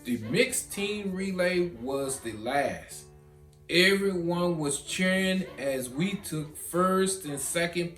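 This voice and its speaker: Animated, unhurried man reading a storybook. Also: sits high. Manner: animated, dramatic pauses. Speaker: author reading own children's book. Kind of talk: expressive storybook narration. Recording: roomy echo, guitar music behind.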